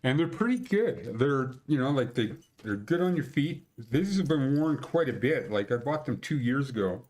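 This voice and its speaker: A man's voice: medium pitch, expressive, moderate pace, darkish tone. A man in his sixties with a Canadian accent, hosting a casual mountain-conditions show from a small room at home and rambling through it with blunt, crude jokes. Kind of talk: casual screen-share commentary.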